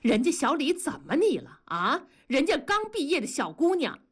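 A woman's voice: medium pitch, big pitch swings, a little slow, breathy edge. A woman voicing a hot-tempered husband in an audiobook, indignant, defensive and blustering. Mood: furious, defensive. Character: hot-tempered husband, an indignant adult man, shouting back. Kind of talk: audiobook character dialogue.

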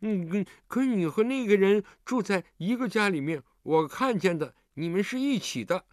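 男声扮演的高音倔强委屈小男孩. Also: male voice, high-pitched, animated, no echo, clean studio sound, voice pushed up. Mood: timid, halting. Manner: halting, stammering, plaintive. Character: stubborn, grieving orphan little boy, sulky and timid.

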